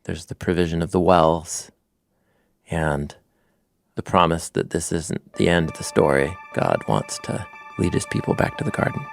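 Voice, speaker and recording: A man's voice: sits low, normal speed, creaky, no room sound, faint music behind. A man co-hosting a podcast, talking through ideas in an earnest, reflective, conversational way. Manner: earnest, thoughtful, lively emphasis. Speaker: podcast co-host.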